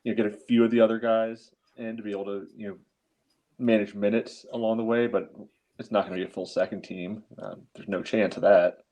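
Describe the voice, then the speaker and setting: A man with a fairly low, soft-edged voice co-hosts a sports podcast. His tone is dark and relaxed, and he breaks down games casually and matter-of-factly. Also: a little fast.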